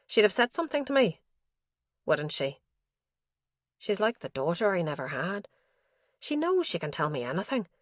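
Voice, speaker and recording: A woman narrator gives voice to an elderly father confiding in his diary, his anxious, guilt-ridden words coming out low and plain. The recording is dry and close, with no room around it. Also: sing-song, moderate pace, long pauses, no echo.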